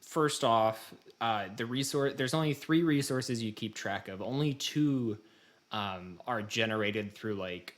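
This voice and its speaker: A man's voice: medium pitch, a little slow. An American man hosting a podcast in casual conversation, talking in a wry, rambling way. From United States. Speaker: podcast host. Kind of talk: casual chat.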